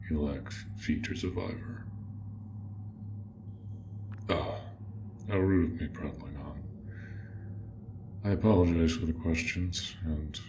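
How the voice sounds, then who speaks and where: A man voicing a gentle, awkward dragon in an audio roleplay, with formal, old-fashioned, hesitant phrasing, speaking straight to the listener. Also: extremely low pitch, background noise, heavily acted. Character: courteous, awkward, gentle male dragon, formal and hoard-proud.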